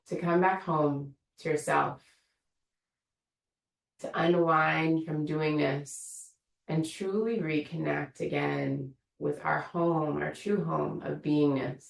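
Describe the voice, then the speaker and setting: A low-voiced woman guides a meditation, her words unhurried and gently inviting. Her voice has a gravelly edge. She sounds a little away from the mic, and the room gives it an echo. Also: fairly even intonation, recorded from a distance. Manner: slow, soothing, invitational guidance. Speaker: meditation teacher. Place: room of an online yoga studio.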